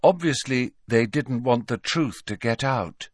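A man's voice: mid-range voice, lively intonation, a little slow, no echo, studio quality, pitched above the performer's own voice. A man voicing a dreamy, serene teenage witch girl who talks in a matter-of-fact way, in a storybook reading.

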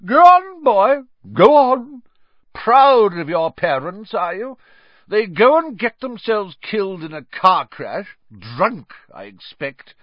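A man voicing a loud, drunk, bullying middle-aged woman who sneers with contempt. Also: high-pitched, very animated, takes it slowly, dry recording, highs cut off, voice pushed up, full character voice. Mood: furious.

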